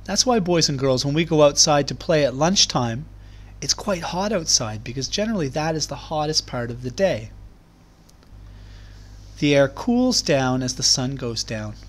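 A man reading aloud to young children as a teacher, explaining things in clear, simple terms. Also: on the high side, expressive, normal speed. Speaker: primary school teacher. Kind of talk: children's read-aloud lesson.

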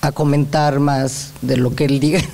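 A woman's voice: very deep voice, flat delivery, vocal fry, dark tone. A woman interpreting a talk line by line, seated at a panel table in a large hall with a handheld mic. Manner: quick, restating lines in brief. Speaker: speaker and interpreter. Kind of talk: consecutive interpreting. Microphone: handheld microphone.

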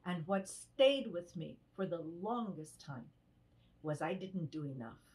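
An older woman playing an earnest survivor and human rights activist in a dramatized testimony, speaking expressively in halting phrases. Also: fairly low pitch, expressive, darkish tone. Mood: reflective, earnest. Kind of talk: dramatized first-person testimony monologue. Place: indoors in a home room, on a video call.

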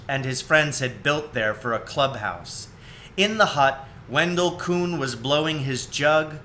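A man's voice: on the high side, sharp tone, a little hiss or hum. A man in his forties reading a children's storybook aloud, recorded indoors at home on a webcam.

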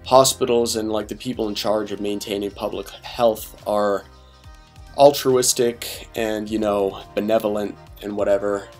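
A young man in his twenties talking quietly and casually in a late-night voice-over about his training plans, rambling with fillers and restarts. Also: fairly even intonation, soft background music. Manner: deliberately quiet and low-key. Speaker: fitness vlogger.

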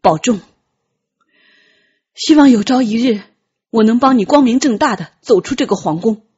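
Woman acting as young prince, breathy husky voice, bitter, protective, pained, grave, storybook drama, slightly lo-fi sound. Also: fairly high pitch, animated, normal speed, dark tone.

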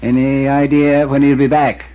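An actor playing a laconic, easygoing small-town constable in 1950s radio drama, folksy and sparing with words. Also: male voice, medium pitch, little pitch movement, normal speed, close to an everyday voice. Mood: patient, questioning. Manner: folksy, terse, drops words. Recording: dull, noisy 1950s radio recording.

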